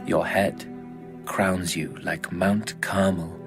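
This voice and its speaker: A man reading as a tender, adoring biblical lover in a measured, poetic dramatized audio Bible style. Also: low voice, fairly even intonation, creaky, music in the background, exaggerated voice.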